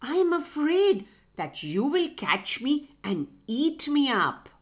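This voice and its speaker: A woman's voice: high-pitched, big pitch swings, takes it slowly, voice pushed up, full character voice. A woman doing a sly, teasing rooster. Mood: fearful. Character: clever male rooster, calm and sly.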